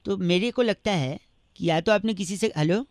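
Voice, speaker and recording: A man's voice: sits high, normal speed, no room sound, clean studio sound. A man making prank phone calls, mock-polite and teasing, laughing at his own jokes. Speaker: prank caller.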